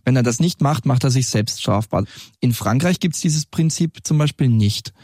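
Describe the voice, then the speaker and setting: A man's voice: medium pitch, lively intonation, moderate pace, mellow, dry recording, studio quality. A man, a police group leader, giving explanatory answers as a guest in an interview. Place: studio.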